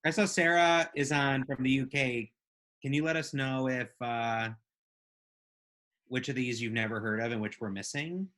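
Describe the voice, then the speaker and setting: A woman with a bright, forward voice co-hosts a relaxed live podcast. She talks casually and playfully, as if chatting with friends. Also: extremely low pitch, normal speed. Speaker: podcast co-host.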